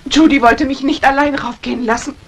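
A woman's voice: high-pitched, steady tone, brisk. An actress playing an anxious, guilt-stricken woman in a 1950s German radio crime drama, earnest and emotional. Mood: distressed. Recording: old radio play recording, faint hiss.

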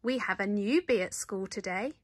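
A woman's voice: mid-range voice, normal speed, husky, dry recording, studio quality, lightly acted. A woman voicing an authoritative queen bee who runs a school, with a firm, announcing manner, in a picture book. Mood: welcoming.

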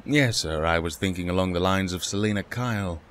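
Older loyal butler, acted by a man: deep voice, formal courtesy, dry wit, faint noise behind. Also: a little fast, fairly bright tone. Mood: dryly wry. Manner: polite, formal, dryly wry. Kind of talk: comic book fan dub dialogue.

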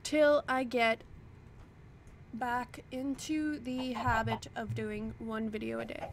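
A woman's voice: high voice, heavy background noise. A woman chatting casually to her viewers while playing a building game, talking to herself as she sorts her items. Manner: chatty, self-deprecating, talks to herself while sorting items. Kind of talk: casual gameplay chatter to the camera. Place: home desk. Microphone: desk microphone at a computer.

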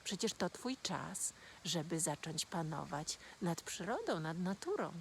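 A woman playing Father Year, a stern but gentle old man speaking low and measured. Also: very animated, vocal fry, slight background noise, exaggerated voice. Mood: calm.

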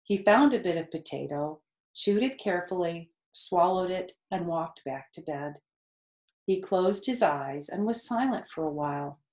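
A woman in her fifties reading a children's story aloud, measured and explanatory. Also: lowish voice, animated, darkish tone. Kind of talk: read-aloud storybook narration. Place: living room sofa, indoors. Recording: roomy, echoey indoor sound.

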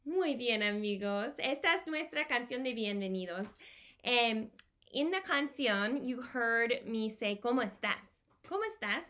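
A woman hosts a storytime for small children at home. Her voice sits fairly high and she speaks very slowly. She repeats simple phrases in an animated, teacherly way, recorded on a device mic in a small room. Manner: animated, simple repeated phrases, switches between Spanish and English. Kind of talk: singing and reading aloud to young children.

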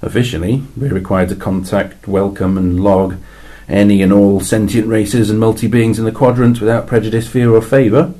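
A weary alien envoy, voiced by a man, very low-pitched with a husky, dark tone. He explains things in a dry, matter-of-fact way, with flashes of exasperated emphasis, in a science fiction story read aloud. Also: level tone, normal speed, hardly any pauses, lightly acted.

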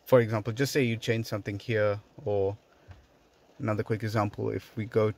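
Male how-to host, lowish pitch, conversational and instructive, recorded in a car. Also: little pitch movement, a little fast, a little mellow. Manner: explanatory, conversational. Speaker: video host giving a tutorial. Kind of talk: casual step-by-step how-to narration. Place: inside a parked car.

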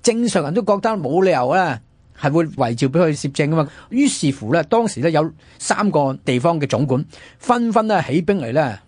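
Fast, husky Hong Kong Cantonese man hosting. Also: on the high side, sing-song, a little mellow, no echo. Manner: explanatory, colloquial. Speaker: radio host. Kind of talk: conversational history discussion.

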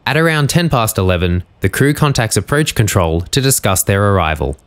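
A man with a fairly low voice reads scripted narration. He explains events step by step in a documentary style. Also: very animated, brisk.

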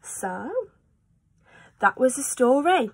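A woman's voice: sits high, lively intonation, slow pace, husky. A woman reading a children's storybook aloud, recorded on a phone indoors. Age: 20s. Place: small room at home, indoors. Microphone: phone at arm's length.

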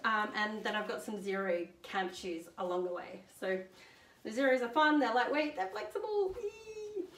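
A woman's voice: fairly high pitch, normal speed. Chatty woman, roomy distant mic. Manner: chatty, upbeat, many "um"s, holds up items while talking. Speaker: hiker presenting her gear list. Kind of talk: casual gear walkthrough. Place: living room at home, indoors. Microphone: camera standing a few metres away. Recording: roomy, distant camera mic, faint hiss.

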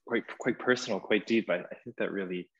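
Man, 30s, moderator, repeats words, low-set voice, lo-fi earbud mic. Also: brisk, a bit bright, slight background noise. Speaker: panel moderator. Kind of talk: panel discussion. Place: gallery space, indoors. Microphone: wireless earbuds.

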